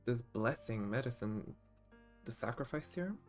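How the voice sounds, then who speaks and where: A man's voice: mid-range voice, normal speed. A man giving casual, rambling live commentary as he streams a game playthrough. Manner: rambling, animated reactions. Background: faint game music.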